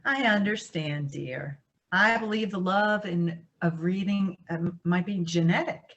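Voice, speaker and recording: A woman in a staged play reading, playing a kindly, modest old woman with a gentle, genteel manner. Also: fairly low pitch, measured pace, highs cut off. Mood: kindly, fond.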